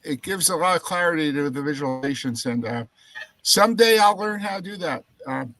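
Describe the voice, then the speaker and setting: A man's voice: fairly high pitch, lively intonation, fairly quick, a crowd in the background, poor audio. A man from California chatting on a video call, giving generous, enthusiastic praise. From California, United States. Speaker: community participant. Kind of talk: casual video call chat.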